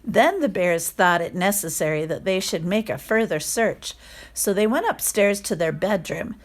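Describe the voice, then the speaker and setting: A woman reading a children's story aloud in a careful, expressive narrating style. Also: medium pitch, normal speed. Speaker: storybook reader.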